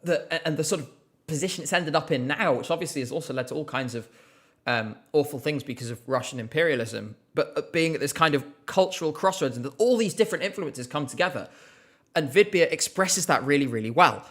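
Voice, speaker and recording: A man's voice: a little high, lively intonation, brisk, a bit bright. A man hosting a casual live stream, chatting in a rambling way with lots of fillers and a slight cough. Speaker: livestream host.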